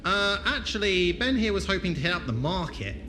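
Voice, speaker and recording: A man as a wry, expressive adventurer. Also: high voice, fairly quick, noisy background. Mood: brisk, wry. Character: wry, commanding male adventurer, blunt and coolly logical. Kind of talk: audio drama acting.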